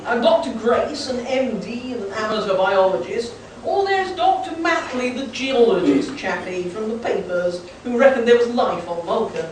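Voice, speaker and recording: A woman's voice: fairly high pitch, animated, moderate pace, few pauses, lightly acted. A woman in an amateur radio play playing a stern, pompous old male professor and father with old-fashioned, chummy phrasing. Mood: genial, pompous. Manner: pompous, old-fashioned British upper-class turns of phrase. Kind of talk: amateur live comic radio play acting. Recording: very echoey hall, distant, faint noise.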